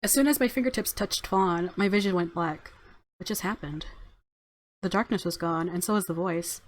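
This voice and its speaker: A woman's voice: on the low side, normal speed, a little hiss or hum, rough recording. A woman hosting a gaming video, reading visual novel narration expressively and adding casual commentary. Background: game music.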